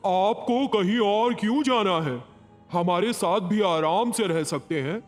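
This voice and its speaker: A man voicing a friendly chief of devils in a cartoon story, measured and reassuring. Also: high voice, soft background music. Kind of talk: Hindi cartoon story voice acting.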